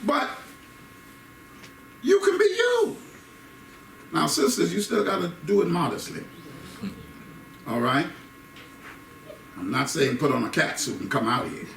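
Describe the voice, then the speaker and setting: A middle-aged American man giving an animated public talk, emphatic, repeating phrases for effect with joking asides. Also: on the high side, unhurried, vocal fry, long pauses. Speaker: guest speaker giving a talk on faith and identity. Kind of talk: animated public lecture to a live audience. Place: indoor hall with live audience. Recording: echoey room, distant mic, faint background noise.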